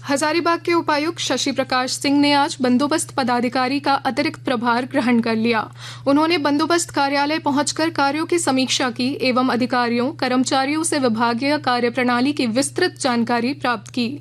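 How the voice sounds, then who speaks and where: A woman reading a regional radio news bulletin in a measured, formal way from a studio. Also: high voice. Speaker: news reader.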